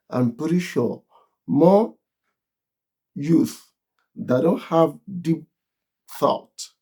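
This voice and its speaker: A man's voice: mid-range voice, creaky, dark tone, slightly rough. A Nigerian man with a Nigerian accent, talking to camera in a casual vlog and giving earnest, emphatic warnings with repeated phrases. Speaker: vlogger.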